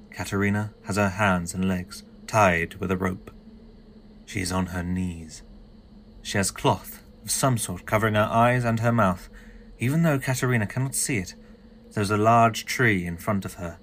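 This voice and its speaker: A man's voice: fairly low pitch, a little slow, fairly bright tone, slight background noise. A man reading scripted narration as the narrator of an audio drama.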